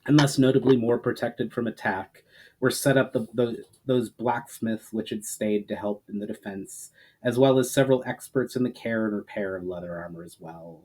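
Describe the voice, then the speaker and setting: A man's voice: fairly low pitch, normal speed, warm tone, poor audio. A man narrating a fantasy story in a dramatic storytelling style, occasionally stumbling over a word. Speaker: author and narrator reading his fantasy novel aloud.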